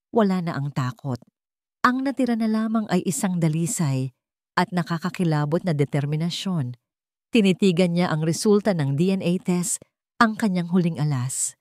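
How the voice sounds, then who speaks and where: A woman storyteller reads dramatic fiction in a fairly low voice. Her phrasing is measured and suspenseful, broken into short segments. The sound is very dry, with no room around it. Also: darkish tone, no echo. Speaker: story narrator. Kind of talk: dramatic scripted narration of a romance drama.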